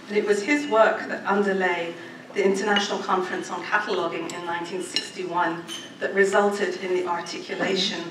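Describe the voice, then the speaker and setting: A middle-aged woman giving a formal prepared academic speech with informal asides, in a measured way that stresses listed points. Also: lowish voice. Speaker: academic speaker at a department anniversary event. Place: medium-sized indoor lecture room with audience. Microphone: gooseneck podium microphone. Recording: roomy, distant microphone, faint background noise.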